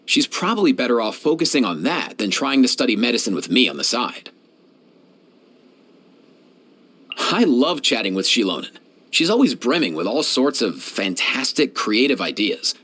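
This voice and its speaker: A lively, easygoing young animal doctor. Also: male voice, mid-range voice, husky, full character voice. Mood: thoughtful, fond. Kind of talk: scripted video game character voice lines.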